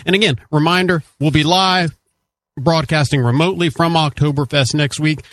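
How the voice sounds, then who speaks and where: A man's voice: a little high, moderate pace, a bit bright, no echo. A man hosting a call-in radio talk show in the studio, upbeat and chatty. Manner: upbeat, chatty, reads promos. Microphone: studio mic.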